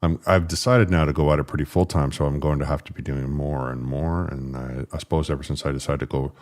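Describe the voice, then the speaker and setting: A man with a deep, rumbling voice hosting a casual livestream, rambling and frustrated as he fixes his stream settings. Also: fairly even intonation, fast, a little mellow. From Canada. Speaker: political livestream host.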